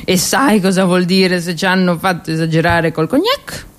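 A woman performing a theatrical monologue as a drunk, lonely woman soldier in a First World War trench, rambling and halting in her speech. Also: fairly low pitch, lively intonation, lightly acted. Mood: bitter, tipsy. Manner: slurred, halting, tipsy rambling.

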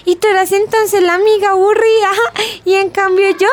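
A woman's voice: very high-pitched, level tone, normal speed. An actress playing an independent, skeptical young woman with a girlish voice and a Colombian accent in a radio drama. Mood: girlish, proud.

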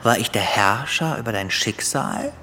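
An actor playing a sadistic, mocking crime boss in a radio play, musing theatrically with little chuckles. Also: male voice, on the low side, lively intonation, moderate pace, sharp tone, a little hiss or hum. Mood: gloating, musing. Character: sadistic male crime boss gloating over his dying victim.

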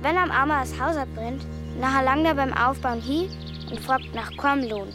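A little Bavarian village girl, played by a woman in a radio play. Her voice is high and childlike, and she speaks in simple dialect with open, trusting curiosity. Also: normal speed, music in the background, natural voice. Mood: proud.

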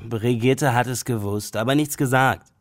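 A man's voice: medium pitch, moderate pace, no room sound, close to an everyday voice. Evasive young man, close dry sound acted. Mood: reluctant. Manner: terse, evasive, casual. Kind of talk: radio drama acting.

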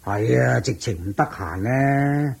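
An actor playing a wealthy old merchant and landlord in a Cantonese radio drama, with a drawn-out delivery of chuckles and sighs. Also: male voice, medium pitch, vocal fry, slight background noise. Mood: pleased, proud.

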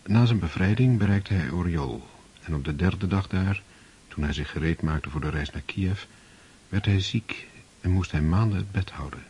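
A man narrating a radio play in a measured, solemn way. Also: low voice, darkish tone, slightly rough, dull audio.

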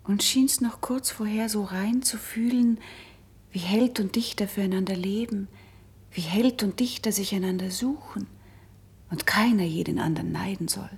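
An actress playing a gentle, wistful noble princess in soft, measured classical verse for radio drama. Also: female voice, mid-range voice. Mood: consoling. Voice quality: breathy, husky with some creak. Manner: soft, measured, elevated delivery.

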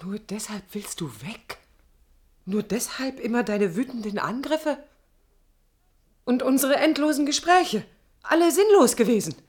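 A woman's voice: on the high side, animated, measured pace, pauses often, darkish tone. An actress playing a wounded, stubborn young woman who speaks emotionally and challengingly in radio play dialogue. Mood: hurt, reproachful. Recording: music under studio radio drama. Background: music.

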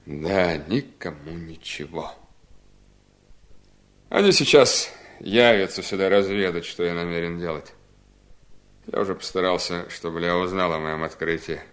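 An actor playing a gravely ill, embittered and stubborn husband in a 1970s radio drama, with a theatrical delivery. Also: male voice, low-pitched, a little slow, pauses often, fairly bright tone. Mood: quietly triumphant.

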